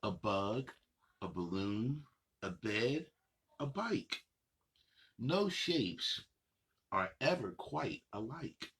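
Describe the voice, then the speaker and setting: A man hosting a children's story time, reading a picture book aloud with playful, drawn-out phrasing and chatty asides to the kids. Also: fairly low pitch, sing-song, fairly bright tone, slight background noise.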